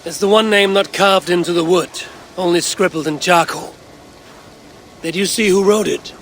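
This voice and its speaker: An actor playing a brooding Viking clan leader in a video game, measured and solemn, as if murmuring to himself. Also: male voice, high voice, steady tone, a bit bright, a little hiss or hum. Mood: questioning, louder. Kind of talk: video game cutscene acting.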